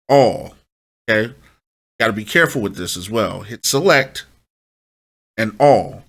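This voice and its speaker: Man, tutorial host, slightly low pitch, forceful bright tone, informal step-by-step instruction, frequent short check-in questions. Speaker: host of a music production tutorial.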